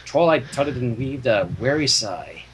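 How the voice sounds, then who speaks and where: A man reading fan fiction aloud as narrator in an expressive way, sometimes tripping over the text and correcting himself. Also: medium pitch, unhurried, background noise.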